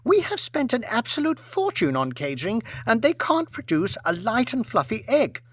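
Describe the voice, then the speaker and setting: Audiobook role: petulant highborn lady, played by a man, high-pitched, wildly swooping, histrionic and imperious. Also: wildly swooping pitch, normal speed, no echo, studio quality, heavily acted. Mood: indignant, demanding. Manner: petulant, sobbing self-pity turning to furious outrage. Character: imperious, shrill, histrionic aristocratic lady throwing tantrums.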